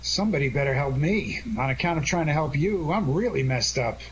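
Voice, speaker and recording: A cocky, wry detective on the run played by a man, with a lightish, higher male voice. He talks tough and breezy in old-time radio drama fashion, over clear background noise. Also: lively intonation, brisk, close to an everyday voice, rough recording. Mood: brisk, wry. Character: cocky, wisecracking male amateur sleuth on the run.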